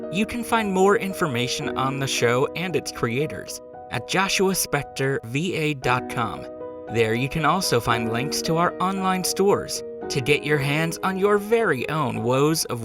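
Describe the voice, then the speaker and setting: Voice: mid-range voice, lively intonation, a little slow. Show host, scripted outro and credits over a music bed. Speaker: podcast host.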